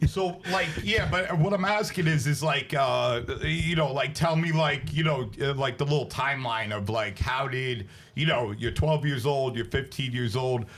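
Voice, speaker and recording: A man's voice: mid-range voice, moderate pace, hardly any pauses, fairly bright tone, slight background noise. A man hosting a podcast in a casual, slangy way, wandering through informal questions in a conversational interview. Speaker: podcast host.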